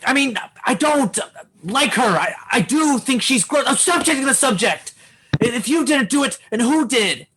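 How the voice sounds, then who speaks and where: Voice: high voice, expressive, normal speed, fairly bright tone, a little hiss or hum, low-quality recording. A performer playing a flustered, bossy schoolboy in a comedy radio play, hesitant and defensive.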